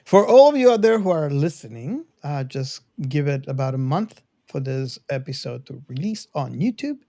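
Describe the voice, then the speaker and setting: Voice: medium pitch, very animated, moderate pace, warm tone, no room sound. Podcast host with a close, dry sound. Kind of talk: casual movie discussion.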